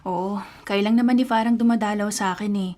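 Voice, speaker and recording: A woman's voice: mid-range voice, fairly even intonation, brisk, background noise, lightly acted. An actress with a Filipino accent playing a headstrong, teasing female daredevil race driver in a radio drama. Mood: casual, determined. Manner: playful, insistent.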